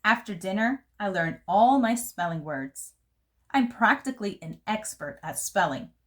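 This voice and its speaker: A woman reading a picture book aloud to children in an expressive, careful, theatrical storytelling manner. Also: mid-range voice, measured pace. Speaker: children's read-aloud host.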